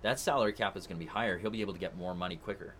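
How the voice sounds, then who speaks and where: A man co-hosting a casual hockey podcast, talking excitedly and joking with friends. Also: sits low, rapid-fire, slight background noise. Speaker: podcast co-host.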